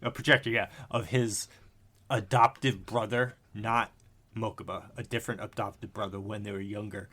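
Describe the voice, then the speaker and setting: A man with a bright, forward voice chats casually as a podcast co-host. He retells scenes like an eager fan, slangy and free with swearing, often laughing as he goes. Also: on the low side, steady tone, moderate pace. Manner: laughs often while talking.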